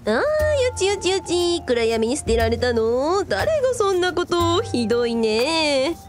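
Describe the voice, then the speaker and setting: A woman's voice: sits high, very animated, measured pace. A woman playing a breezy, teasing young woman in casual radio drama dialogue. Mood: mock-pitying. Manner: teasing, playful.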